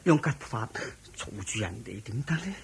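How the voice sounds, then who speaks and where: An actor playing a sickly, anxious monk disciple in a Cantonese radio drama, speaking deferentially and earnestly. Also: male voice, medium pitch, very animated, fairly quick, background noise. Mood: apologetic, troubled. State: sickly, prone to coughing.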